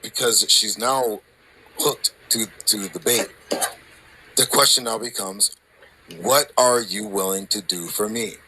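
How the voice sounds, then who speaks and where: A man talking casually and explaining something in halting, piecemeal phrases. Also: fairly low pitch, noisy background.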